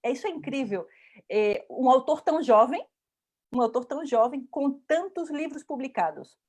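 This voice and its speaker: Enthusiastic, effusive woman from Brazil, slightly high voice; interviewer on a video call. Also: expressive, moderate pace, a little mellow. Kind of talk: casual interview.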